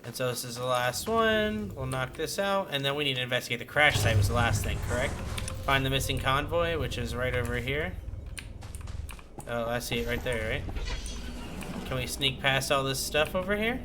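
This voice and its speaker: A man's voice: medium pitch, animated, moderate pace. A man giving casual gameplay commentary as a video game streamer. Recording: clear background game noise.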